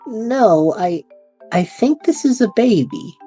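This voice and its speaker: Lady doing a scatterbrained guy adventurer, low husky dark voice, all over the place, faint music. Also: slow pace. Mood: excited. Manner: excitable, joking, reckless. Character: eager scatterbrained young male adventurer hero. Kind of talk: fan audio drama.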